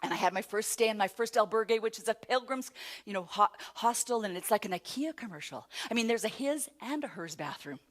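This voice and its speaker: A middle-aged woman, a motivational speaker, telling a self-mocking story to a live audience, rising to loud exclamations. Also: medium pitch, expressive, fairly quick. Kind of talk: storytelling keynote talk.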